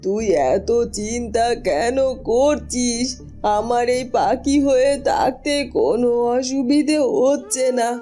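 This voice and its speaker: A woman voicing a gentle, selfless mother turned into a bird in a cartoon, speaking in a tender, soothing way. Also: on the high side, slow pace, soft background music. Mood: tender, reassuring. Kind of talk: dramatic cartoon storytelling.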